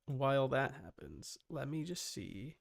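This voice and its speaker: Livestreamer, gravelly creak, casual chat, muttered, scattered, self-interrupting. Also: mid-range voice, big pitch swings, a little slow.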